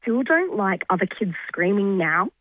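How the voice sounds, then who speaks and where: A woman's voice: medium pitch, big pitch swings, normal speed, a little hiss or hum. A woman calling in to a radio show, answering plainly. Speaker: caller. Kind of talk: phone-in call. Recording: dull phone line.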